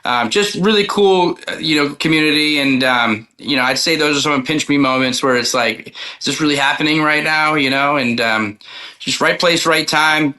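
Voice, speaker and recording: Bright-voiced guy, loose casual interview guest. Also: fairly high pitch, normal speed, poor audio. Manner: loose, rambling, informal. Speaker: guest, country singer-songwriter. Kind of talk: casual interview chat telling personal stories.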